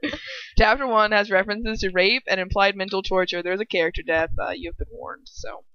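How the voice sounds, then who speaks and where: A woman's voice: mid-range voice, steady tone, a little fast, fairly bright tone, smooth, dull audio. A young adult woman reading fan fiction aloud casually, flustered and giggly, breaking off into sweary asides.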